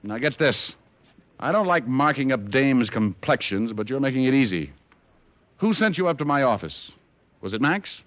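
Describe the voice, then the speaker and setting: An American actor playing a cocky, tough, sardonic private detective in 1940s radio noir style. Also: male voice, medium pitch, sing-song, normal speed, a bit bright, slight background noise. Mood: tough, threatening. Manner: sardonic, glib. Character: wisecracking hard-boiled male private detective, cocky and tough. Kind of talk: 1940s radio acting, noir first-person narration. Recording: old-time radio recording with music.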